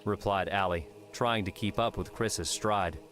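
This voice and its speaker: Casting: male narrator for adventure fiction audiobook, very low voice, soft music underneath. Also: measured pace.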